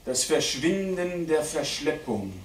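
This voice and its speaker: A man giving a live dramatic poetry reading at a literary event, declaiming deliberately with strong shifts between hushed and loud delivery. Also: on the high side, very animated. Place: indoor literary event venue at a theatre. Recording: roomy live hall recording, distant mic, faint noise.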